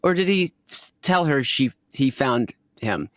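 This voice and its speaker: A man's voice: mid-range voice, expressive, measured pace, a bit bright, no room sound. A man co-hosting a fan-fiction reading show, giving a casual recap and rambling as he searches for details.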